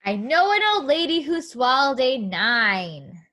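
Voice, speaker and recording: Bright, high-pitched woman teaching preschoolers. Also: very animated, measured pace. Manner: encouraging, simple phrasing with guiding questions. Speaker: preschool teacher reading a story aloud. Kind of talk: interactive read-aloud lesson for young children.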